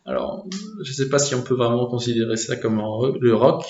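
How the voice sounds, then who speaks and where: A young French man from Normandy, answering interview questions casually with many hesitant pauses. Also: medium pitch, fairly even intonation, a little mellow, echoey room, muffled recording. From France, Normandy.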